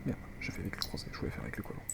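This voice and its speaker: A man's voice: rapid-fire, in a whisper. A man with a French accent who has a cold, commenting casually on his strategy game as he plays and chatting with viewers. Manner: rambling, chatty, talks to viewers while playing. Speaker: gaming video host. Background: loud game music.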